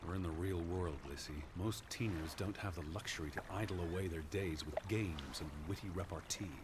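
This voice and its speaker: A man voicing a serious, scholarly American naturalist in a video game, measured and earnest. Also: low voice, heavy background noise, close to an everyday voice. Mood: reproving.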